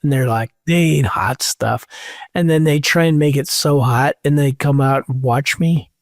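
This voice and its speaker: A man's voice: a little high, no room sound, studio quality. A man in his thirties, a podcast co-host, joking and explaining things offhand in casual chat.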